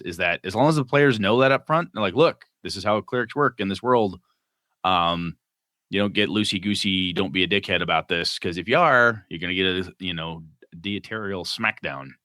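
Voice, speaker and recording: A man with a low, bright and forceful voice co-hosts a casual gaming podcast. He reads listener mail aloud and breaks off for jokey asides. The close mic sounds dry. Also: normal speed, no room sound.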